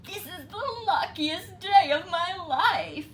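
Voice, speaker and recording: A woman's voice: very high-pitched, slow pace, fairly bright tone, slight background noise, pitched above the performer's own voice, full character voice. A woman voicing a greedy, sly male fox villain from a storybook, gloating and scheming. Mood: gleeful. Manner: gloating, scheming, chuckling over victims.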